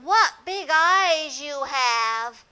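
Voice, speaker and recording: A woman voicing a cheerful little girl heroine of a fairy tale, high and sing-song. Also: lively intonation, extremely slow, sharp tone, exaggerated voice. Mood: wondering.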